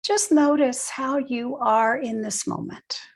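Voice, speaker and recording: An older woman leading a guided meditation, speaking gently and leaving long pauses between short phrases. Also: high-pitched. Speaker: guided meditation teacher, nurse and educator.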